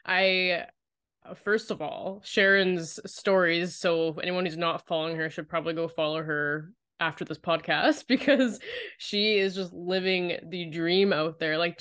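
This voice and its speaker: A woman's voice: fairly low pitch, fairly bright tone, clean tone. A woman hosting a casual podcast interview, chatty and upbeat, laughing a lot as she asks questions. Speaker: podcast host.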